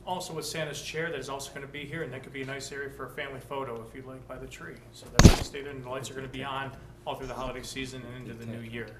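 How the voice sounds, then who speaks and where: A man's voice: mid-range voice, level tone, very fast, hardly any pauses. A man giving an upbeat department director's report at a council meeting. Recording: distant mic in echoey chamber with noise.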